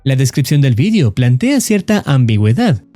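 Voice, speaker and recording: A man narrating a horror story as a scripted voice-over, in a steady, solemn storytelling manner with ominous emphasis. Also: medium pitch, normal speed, soft background music. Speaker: YouTube horror channel narrator.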